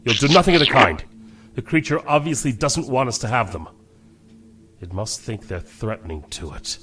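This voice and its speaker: An actor playing a calm, commanding starship captain in an audio drama, firm and measured in his delivery. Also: medium pitch, slight background noise, close to an everyday voice.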